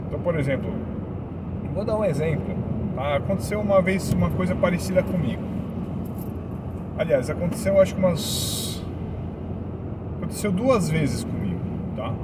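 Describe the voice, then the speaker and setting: A man's voice: a little high, recorded from a distance, heavy background noise. A Brazilian man with a Brazilian Portuguese accent telling a story to his viewers in a chatty way, often checking in with his listeners. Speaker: vlogger living abroad.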